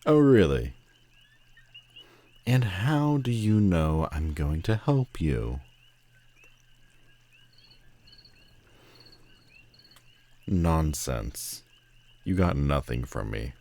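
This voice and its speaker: A man's voice: rumbling low, a little mellow, full character voice. A man playing a proud, sardonic telepathic villain with secret loneliness, speaking haughtily and dramatically in a roleplay audio drama. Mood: haughty, defensive. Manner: haughty, dramatic, drawn-out emphatic phrasing.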